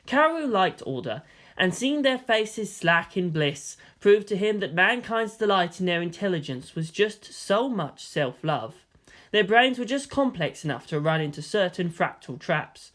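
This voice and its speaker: A narrator giving a dramatic, expressive reading of scripted fan fiction. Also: mid-range voice, a little slow. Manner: expressive, theatrical.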